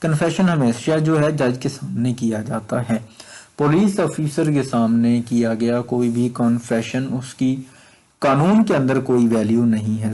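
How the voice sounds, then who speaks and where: A man's voice: mid-range voice, mellow, low-quality recording. A man with a Pakistani accent lecturing in a methodical way, reading questions aloud and explaining the answers. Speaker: law exam lecturer.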